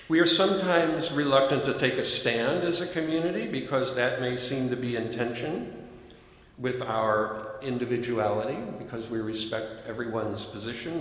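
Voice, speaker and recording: A man's voice: medium pitch, fairly bright tone. An older man from the Midwest, a minister giving a reflective, earnest sermon. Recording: echoey hall, long reverb.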